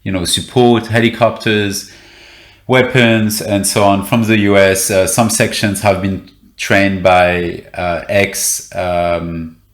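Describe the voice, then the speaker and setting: A very deep-voiced man talks as a reporter guest in an interview. He speaks haltingly and often stops to restart a sentence. His voice has an echo, as if he is in a bare room. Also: fairly even intonation. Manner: hesitant, many fillers and restarts. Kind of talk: interview conversation.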